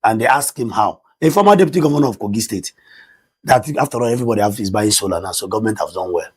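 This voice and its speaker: A middle-aged Nigerian man with a Nigerian English accent and a loud voice, talking emphatically in a studio discussion. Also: fairly low pitch, rapid-fire, husky. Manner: emphatic, excitable, rises sharply when stressing points. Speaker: discussion panelist.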